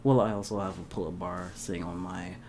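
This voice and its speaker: A young man chatting casually to his camera at home, laughing often and getting animated at times. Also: deep voice, fairly quick, slight background noise. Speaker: vlogger. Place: indoors at home.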